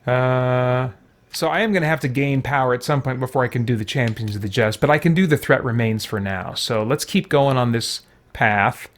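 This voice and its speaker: A man's voice: mid-range voice, moderate pace. A man giving chatty, wry commentary on a game stream, sometimes reading game text aloud.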